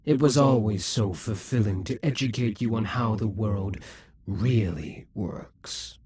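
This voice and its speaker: Man voicing a demon king, possessive, scheming, breathy, half-whispered, unhurried delivery, lilting pitch. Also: a little mellow, no room sound. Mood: gloating, possessive. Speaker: audio roleplay voice actor. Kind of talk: scripted audio roleplay.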